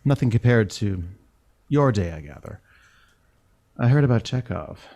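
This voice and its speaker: A man's voice: lowish voice, animated, normal speed, warm tone. A man playing a starship captain's languid, teasing lover in a science fiction audio drama, speaking intimately.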